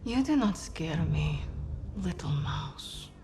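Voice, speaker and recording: Low-voiced, defiant Russian sniper woman. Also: expressive, slow pace. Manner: cool, taunting, unbowed. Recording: very loud music underneath.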